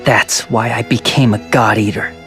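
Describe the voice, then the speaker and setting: An actor playing a sincere, determined young soldier in a video game, speaking earnestly and a little haltingly. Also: male voice, mid-range voice, flat delivery, a bit bright, soft background music, natural voice. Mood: torn, determined. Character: earnest young male soldier devoted to his family. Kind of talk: dubbed video game cutscene acting.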